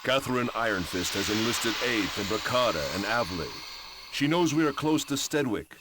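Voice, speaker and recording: A man's voice: medium pitch, lively intonation, lightly acted. Man acting stern invading warlord, very noisy audio. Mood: determined. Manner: stern, menacing, deliberate. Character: ruthless male warlord briefing an invading army. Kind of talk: scripted cutscene narration. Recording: very noisy old game audio.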